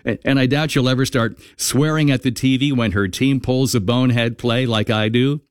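A man hosting morning radio with a deep voice, reading the weather and making dry jokes. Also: fairly even intonation, normal speed, smooth, dry recording. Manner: dry, joking. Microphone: studio microphone.

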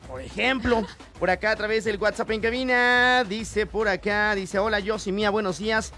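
A man's voice: high voice, steady tone, moderate pace, bright, forceful tone. A Mexican radio host jokes his way through morning banter, laughing often as he reads listener messages on air. Faint music plays under his voice in the studio.

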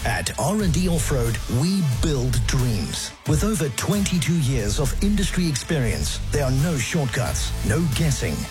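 A man voices an earnest radio ad with lively pitch. Music plays clearly underneath. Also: unhurried, hardly any pauses. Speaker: ad voice-over. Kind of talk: scripted radio ad read.

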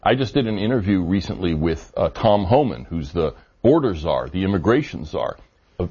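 A man answering interview questions on policy, measured and argumentative, with frequent fillers. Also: deep voice, big pitch swings, darkish tone, slightly rough. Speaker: immigration policy guest. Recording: dull lo-fi audio.

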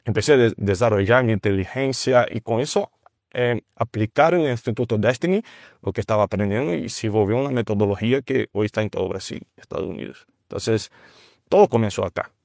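Brief: Brazilian man, non-native Spanish, halting delivery, dry close sound. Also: lowish voice, creaky, darkish tone, no room sound. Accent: Brazilian Portuguese. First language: Portuguese. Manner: halting, with restarts and searching for words. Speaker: guest, teacher of a ministry. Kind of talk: conversational testimony.

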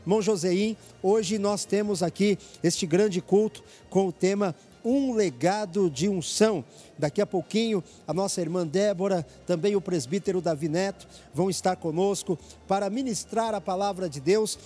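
A fervent evangelical radio host with a high, mellow voice. He speaks with urgent, preaching energy while broadcasting from a church. His tone rises and presses the listener. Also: moderate pace, soft background music. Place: church headquarters.

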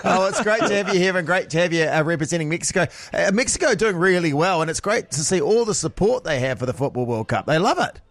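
A man's voice: high voice, fast talker, hardly any pauses, dry recording. A man hosting a radio show, bantering casually and chatting with callers. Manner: lively, chatty, laughing. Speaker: radio host.

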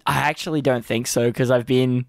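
A man's voice: mid-range voice, steady tone, moderate pace, creaky, no echo, studio quality. A man co-hosting a radio show, chatting casually in a rambling, self-deprecating way. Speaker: radio co-host and stand-up comedian.

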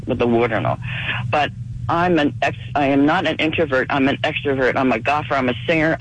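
A woman in her seventies calling in to a radio show. She is losing her voice to a cold and talks fast in a rambling way. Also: low-pitched, highs cut off. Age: 70s. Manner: rapid, rambling storytelling. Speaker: caller. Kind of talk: call-in radio chat.

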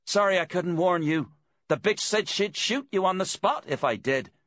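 A man's voice: high-pitched, animated, normal speed, fairly bright tone, no room sound, pitched above the performer's own voice. A man voicing a captive woman calling out, apologetic yet defiant, in an audiobook thriller. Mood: apologetic, indignant.

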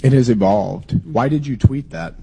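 A man with a hoarse voice from a cold, questioning a witness at a hearing in a pointed way, often restarting his words. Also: medium pitch, normal speed, dark tone.

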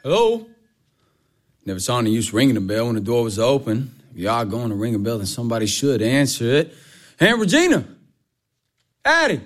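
A man's voice: mid-range voice, expressive, brisk, a little mellow, close to an everyday voice. An actor playing a hotheaded, foolish young man with a Southern US accent in a stage drama. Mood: impatient, confused. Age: young adult.

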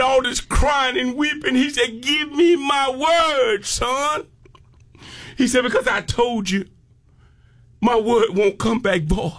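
An American man preaches with fervor in a very high-pitched voice. He takes his time over each phrase and delivers it as an impassioned sermon, punctuated with exclamations of praise. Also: fairly bright tone. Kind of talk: fervent sermon with call and response.

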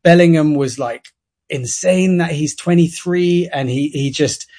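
British man from London, slightly high voice. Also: measured pace, darkish tone. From London, England. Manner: thoughtful, stammering restarts. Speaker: author, radio guest. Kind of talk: interview answers over a remote line.

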